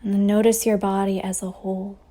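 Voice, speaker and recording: A woman leading a guided meditation as a teacher, speaking softly and breathily in a soothing way with long pauses, over ocean waves. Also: medium pitch, little pitch movement. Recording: faint ambient wave noise behind voice. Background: ocean waves sound effect.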